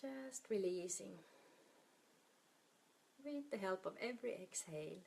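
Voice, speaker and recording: A woman leading a guided meditation in a soft, soothing, unhurried manner, her breathy voice often trailing off toward a whisper. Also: mid-range voice, animated, slight background noise.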